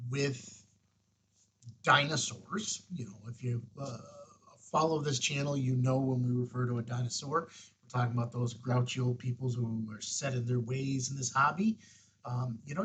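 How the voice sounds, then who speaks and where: A man with a mid-pitched, slightly husky voice talks through a hands-on tutorial. He is plain-spoken and folksy, chatting casually as the host of a hobby channel. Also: a bit bright.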